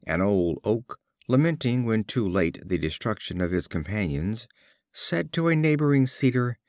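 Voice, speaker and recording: A man reading fables as scripted storybook narration, in a measured, expressive storyteller's delivery. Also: lowish voice, dry recording, studio quality. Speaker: audiobook reader.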